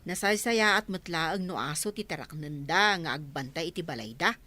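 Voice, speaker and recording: A woman's voice: low-pitched, normal speed, pushed and bright. An actress playing a matter-of-fact gossiping village woman with an Ilocano accent in a radio drama. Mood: opinionated. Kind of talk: Filipino radio drama acting.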